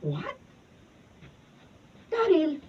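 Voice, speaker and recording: A woman's voice: very high-pitched, wildly swooping pitch, very slow, exaggerated voice. A Filipino woman playing a sulky, demanding young girlfriend, petulant, in a radio drama. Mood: sulky, reproachful. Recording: muffled, distant mic, noisy room.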